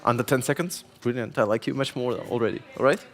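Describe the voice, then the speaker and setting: A middle-aged man hosts a panel on a conference stage. He talks at an ordinary pitch for a man, chatty and a little self-mocking, and stacks several questions one after another. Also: quick pace. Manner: chatty, self-deprecating, strings questions together. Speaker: panel moderator. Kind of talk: moderating a panel discussion.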